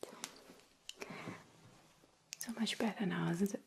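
A woman whispering softly and reassuringly in an ASMR roleplay, playing a kind, caring alien examiner. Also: medium pitch, vocal fry, background noise. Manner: soft, slow, reassuring, with gentle repetition. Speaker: ASMR performer.

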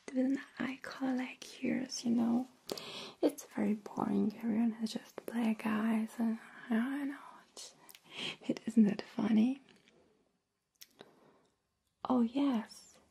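A woman playing a friendly, caring alien abductor in an ASMR roleplay, speaking in a soft, breathy whisper with slow, soothing, coaxing words aimed closely at the listener. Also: a little high, slight background noise.

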